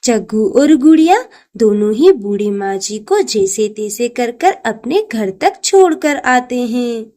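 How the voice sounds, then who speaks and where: A woman's voice: fairly high pitch, animated, unhurried, dark tone. A woman narrating a scripted children's cartoon story.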